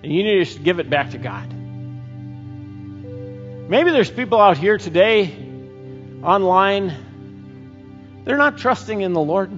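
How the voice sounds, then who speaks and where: A middle-aged man preaching a sermon and leading a closing prayer, slow and earnest with frequent pauses, on a headset mic. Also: sits high, sing-song, fairly bright tone, soft background music. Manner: slow, earnest, pausing often. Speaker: pastor. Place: church stage, indoors. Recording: dull church recording with long reverb.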